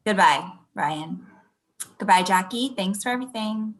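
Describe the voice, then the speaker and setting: A woman playing a curt, fed-up young girlfriend ending a relationship, deadpan, in a table read over a video call. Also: mid-range voice, a little slow, natural voice. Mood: curt, weary. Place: indoor room on a video call.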